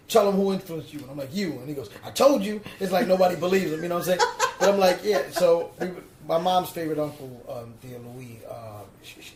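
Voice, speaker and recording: A man from the Bronx, telling a story as a DJ guest in an interview and acting out the quoted lines. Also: mid-range voice. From the Bronx, New York. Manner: lively storyteller who acts out quoted lines. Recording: echoey room.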